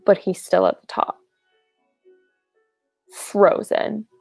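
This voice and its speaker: A woman's voice: takes it slowly, a little mellow, no room sound, soft background music. A woman telling a funny ghost story in a rambling, casual way, full of "like", over a video call. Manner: rambling, lots of "like".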